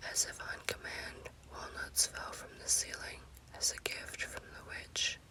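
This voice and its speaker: A woman narrates a scary story as ASMR in an unvoiced, breathy whisper, in deliberate short phrases. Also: poor audio. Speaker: storyteller, host.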